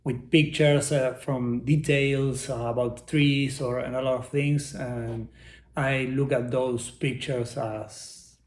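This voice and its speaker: Argentine man with halting, searching English. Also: medium pitch, steady tone, reverberant. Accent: Argentine Spanish. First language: Spanish. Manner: halting, searching for English words, many restarts. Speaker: interview guest, artist. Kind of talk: casual interview.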